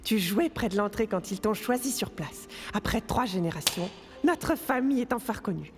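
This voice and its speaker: An actress voicing a gentle, middle-aged monster mother in an animated film, tender and fussing. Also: medium pitch, moderate pace, lightly acted. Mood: warm, proud. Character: gentle, caring monster mother of a grown son. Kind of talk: animated film dub acting. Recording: long hall reverb, music behind.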